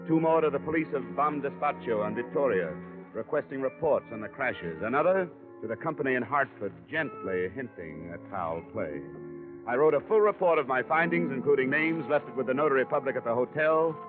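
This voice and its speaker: An American actor playing a wry, tough investigator with a deadpan, clipped delivery in 1950s radio drama. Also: male voice, medium pitch, brisk, close to an everyday voice. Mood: brisk narrating. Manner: hard-boiled, deadpan, clipped. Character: wry, tough, dogged American insurance investigator telling his case. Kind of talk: 1950s radio detective acting and narration. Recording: muffled 1950 radio broadcast, music behind.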